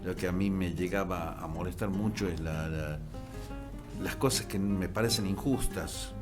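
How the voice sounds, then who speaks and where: A middle-aged man with an Argentine Spanish accent, a writer and musician telling history in a relaxed chat, with hesitant restarts and animated bursts. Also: low voice, normal speed. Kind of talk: conversational storytelling. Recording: music playing behind.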